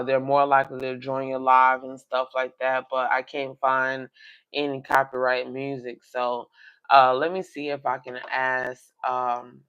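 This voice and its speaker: A man hosting a coin collecting livestream, rambling in casual chat to his viewers with a slightly raspy voice. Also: medium pitch, fairly bright tone. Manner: rambling, talks directly to viewers. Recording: dull lo-fi livestream audio.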